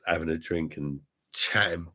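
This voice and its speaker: A man hosting a live stream, chatting with his viewers in a rambling, crude way full of swearing, his voice hoarse because he is ill. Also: low-pitched, fairly even intonation, normal speed, pushed and bright. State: hoarse, unwell. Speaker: livestream host reading chat. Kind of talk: casual crude drinking chat.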